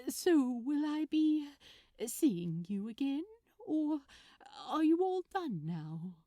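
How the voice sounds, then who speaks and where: A woman's voice: sits high, big pitch swings, slow pace, warm tone, no room sound, studio quality, pitched above the performer's own voice, exaggerated voice. A woman voicing a shy, lovestruck man who mumbles and stammers hesitantly in a story reading. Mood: hopeful, hesitant. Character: flustered, lovestruck man stammering shyly through small talk. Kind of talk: storybook character dialogue in fan fiction reading.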